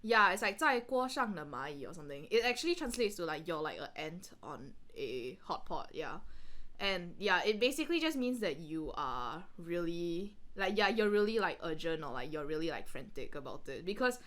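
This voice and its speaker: A woman's voice: on the low side, sing-song, moderate pace, a bit bright. A woman co-host giving casual, rambling, informal commentary on a story reading.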